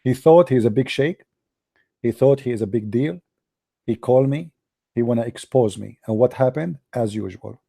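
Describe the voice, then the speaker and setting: Man, mellow dark tone, tired dry voice, combative, repeats himself. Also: mid-range voice, moderate pace, vocal fry, breathy edge, no echo. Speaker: debate show host. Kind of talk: heated call-in debate.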